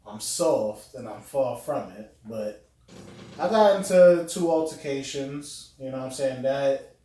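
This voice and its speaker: A young man with a high voice, chatting casually as a podcast guest with lots of fillers and restarts. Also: a little slow, mellow. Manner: laid-back, many fillers and restarts. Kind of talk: casual chat. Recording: echoey room, mic far off.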